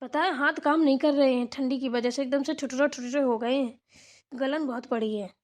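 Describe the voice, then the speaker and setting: A woman's voice: sits high, fairly even intonation, moderate pace, darkish tone, close to an everyday voice. A woman voicing an older village mother-in-law in a family cartoon, bossy and chatty, instructing in a homely, rambling way. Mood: chatty, content. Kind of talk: amateur Hindi family cartoon dialogue.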